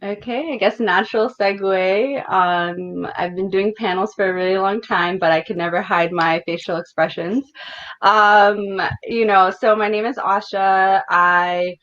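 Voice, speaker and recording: A woman organizer from the United States, speaking on a panel in a thoughtful, measured way with many fillers. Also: mid-range voice, steady tone, a bit bright. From United States, Detroit and Northern Virginia. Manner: thoughtful and measured, with frequent "um" and "you know". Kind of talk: panel discussion remarks. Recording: dull lo-fi audio.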